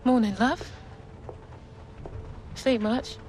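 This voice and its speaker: Slow-spoken, caring mum. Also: female voice, on the high side, steady tone. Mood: tender. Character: caring, firm British mother of a teenager. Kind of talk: video game cutscene acting.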